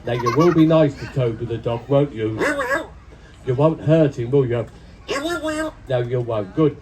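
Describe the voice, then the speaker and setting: A man working as a puppeteer at a live children's puppet show. He is loud and playful, and he calls out to the children. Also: medium pitch, a little slow, muffled recording. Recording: loud crowd and noise. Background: loud audience of children.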